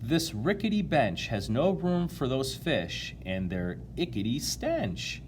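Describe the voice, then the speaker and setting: A man's voice: medium pitch, big pitch swings, slow, slight background noise. A man voicing a worried, pleading young boy in a storybook. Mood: alarmed. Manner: protesting, pleading. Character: worried young boy, protesting the crowded bus.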